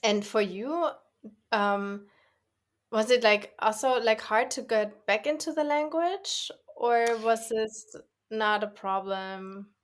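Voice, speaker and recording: A woman hosting a podcast, asking casual, halting questions full of fillers. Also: a little high. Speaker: podcast host.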